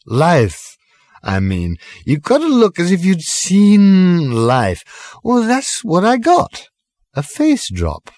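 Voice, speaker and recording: A man voicing a drunken, rambling, affronted storyteller, slurring and halting over his long words. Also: high-pitched, wildly swooping pitch, a little mellow, no echo, studio quality, pitched above the performer's own voice, full character voice. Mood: insistent, slurred.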